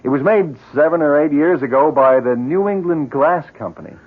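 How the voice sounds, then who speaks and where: An actor playing a calm, reassuring, friendly family friend in a 1970s radio drama, with a husky, conversational voice. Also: male voice, a little high, normal speed. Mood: earnest, explaining. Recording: muffled vintage radio broadcast.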